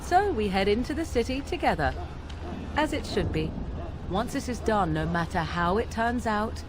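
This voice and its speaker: A woman voicing a proud, guarded witch in a fantasy game, formal and measured, hiding tenderness under a cool manner. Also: mid-range voice, expressive, background noise, natural voice. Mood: solemn, guarded. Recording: music behind.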